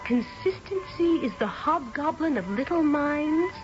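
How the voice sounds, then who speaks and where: An actress playing an imperious young British fiancée in a radio drama, clipped and theatrical. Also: female voice, high-pitched, expressive, a little slow, over music. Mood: icily displeased. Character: haughty, imperious young English fiancée, exasperated by ghosts. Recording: dull vintage radio drama recording.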